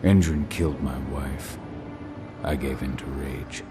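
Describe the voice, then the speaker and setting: An extremely low, growling male voice for a brooding exile in a video game. He sounds gruff and weighed down by guilt. Loud music plays under him. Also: fairly even intonation, a little slow. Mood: somber, regretful. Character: brooding exiled warrior, gruff adult man, guilt-ridden. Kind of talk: cinematic video game voice acting.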